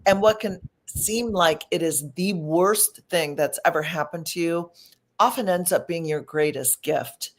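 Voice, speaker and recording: A woman's voice: lowish voice, measured pace. A middle-aged woman from Michigan with a Midwestern accent, a guest actress telling her story eagerly in a live talk show interview in a restaurant. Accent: Midwestern American. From Michigan, USA.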